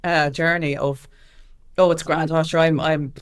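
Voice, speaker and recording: A woman's voice: deep voice, flat delivery, unhurried, clean tone. An Irish woman speaking as an activist guest in a candid interview, with an emphatic, impassioned way of talking.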